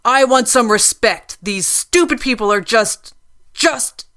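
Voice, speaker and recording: A woman voicing a furious, unhinged gunwoman in a storybook reading, yelling shrill threats. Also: slow pace. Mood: menacing. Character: screaming unhinged gunwoman, adult female.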